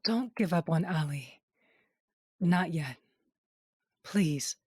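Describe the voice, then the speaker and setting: An actress playing a pensive, troubled wife on a fantasy quest in an audio drama, speaking earnestly. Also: female voice, on the low side, lively intonation, takes it slowly, darkish tone, breathy edge, dry recording, clean studio sound, full character voice. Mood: pleading.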